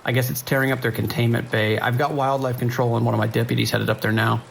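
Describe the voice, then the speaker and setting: Weary American police dispatcher male actor, gravelly and procedural, naturalistic radio drama, rain and faint noise behind. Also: mid-range voice, flat delivery, natural voice. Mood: concerned, measured. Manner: measured, procedural, hesitant pauses. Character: steady, weary male island sheriff's dispatcher on night shift. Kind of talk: naturalistic audio drama acting.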